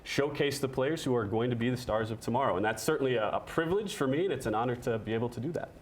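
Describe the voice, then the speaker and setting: Fast-talking young male sports announcer. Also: medium pitch. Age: young adult. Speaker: radio play-by-play sports announcer. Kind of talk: studio sports interview, conversational. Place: TV studio.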